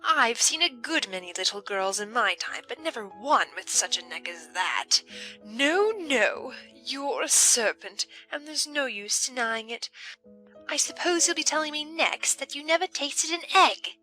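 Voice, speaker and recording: Indignant mother bird for audiobook drama: sharp, forceful, high-pitched and quarrelsome. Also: expressive, unhurried, faint music behind, heavily acted, low-quality recording. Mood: scornful. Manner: shrill, indignant, melodramatic. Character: frantic, quarrelsome mother pigeon guarding her eggs. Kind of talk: dramatised audiobook character acting.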